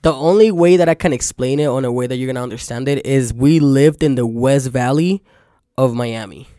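Young man, Venezuelan accent, Spanish first language. Clear, smooth tone, dead-dry booth sound, rambling casual storyteller. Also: medium pitch, fairly quick, no echo. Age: young adult. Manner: rambling, frequent "like" fillers, relaxed storytelling. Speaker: podcast guest. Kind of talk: casual chat.

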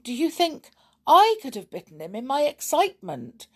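A woman voicing a cheeky, excitable young boy in a storybook, with an earnest, protesting manner. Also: on the low side, slow pace, vocal fry, slightly rough, full character voice. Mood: mock-serious.

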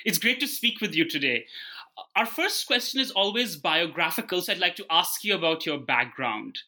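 A man's voice: sits high, lively intonation, normal speed, pushed and bright. A man hosting a podcast, reading a formal guest introduction and asking the opening question. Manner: formal, scripted. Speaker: podcast host.

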